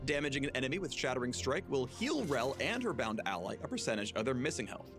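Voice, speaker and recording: A man's voice: medium pitch, sing-song, fast. A man reading scripted promotional narration for a game guide in a polished, punchy, playful way. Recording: music bed under narration.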